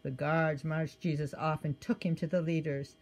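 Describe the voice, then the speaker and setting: A woman with a lowish, soft voice reads a children's storybook aloud. She tells it gently and with expression, and now and then she stops to point out what is happening in the picture. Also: steady tone, moderate pace. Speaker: storybook reader.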